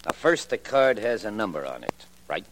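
Low-voiced, cocky, surly hustler. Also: male voice, very animated, moderate pace, fairly bright tone. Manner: slangy, brusque, mocking. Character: cocky, surly small-time male hustler. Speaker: actor. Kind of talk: 1950 radio crime drama acting. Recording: noisy old radio recording.

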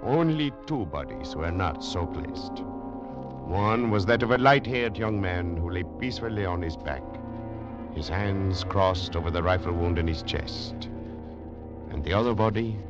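Grave, low-voiced legion officer. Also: male voice, animated, a little slow. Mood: grim, dramatic. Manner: solemn, dramatic delivery. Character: grave Foreign Legion officer telling his tale. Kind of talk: old-time radio drama acting. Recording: dull vintage radio broadcast, music behind.

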